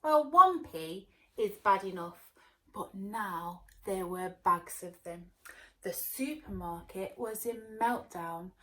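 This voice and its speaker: A woman teacher talking to young children and reading them a story aloud in an expressive way. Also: medium pitch, slow, low-quality recording. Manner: expressive, addressing small children. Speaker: early years teacher.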